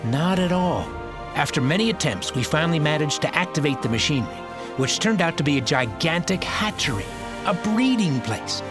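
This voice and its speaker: An actor playing a smug, cowardly scientist with a formal, wordy manner in a video game cutscene. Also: male voice, on the high side, expressive, normal speed, natural voice. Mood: smug explaining. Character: smug male scientist who bred aliens, cowardly under threat. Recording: loud music behind the voice. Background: music.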